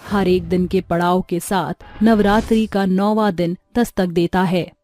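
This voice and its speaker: A woman's voice: lowish voice, steady tone, measured pace, darkish tone, dry recording, faint music behind. A woman giving scripted storytelling narration for a moral tale.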